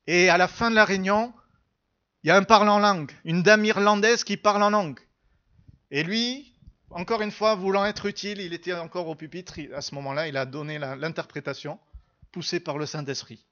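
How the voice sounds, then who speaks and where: A man with a noticeably high voice delivers a church sermon. He is earnest and emphatic, moving between storytelling and teaching and repeating phrases to press his point. The recording sounds a little dull and lo-fi. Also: fairly even intonation, a little fast, pushed and bright. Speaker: preacher.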